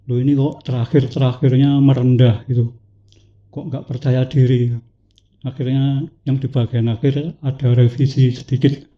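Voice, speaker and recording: Measured man reading a poetic talk. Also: medium pitch, little pitch movement, highs cut off. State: has a cough. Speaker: speaker at a talk event.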